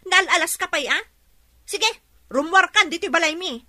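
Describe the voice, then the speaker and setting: Spiteful young daughter, high-pitched, piercing bright tone, defiant, radio drama. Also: female voice, animated, normal speed, dry recording, clean studio sound, full character voice. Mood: quarrelsome. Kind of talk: Ilocano radio drama acting.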